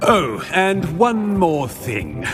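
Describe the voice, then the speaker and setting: An actor playing a pompous, irritable older headmaster in a video game, haughty and clipped, with a British accent. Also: male voice, a little high, huge pitch swings, extremely slow, over music, heavily acted. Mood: stern, irritated. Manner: haughty, clipped, imperious announcing.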